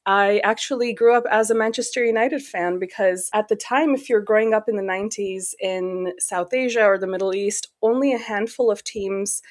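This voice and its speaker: American-accented woman, middle-aged, researcher guest, measured and thoughtful. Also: mid-range voice. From Pakistan. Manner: articulate, measured, with frequent "um". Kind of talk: podcast interview.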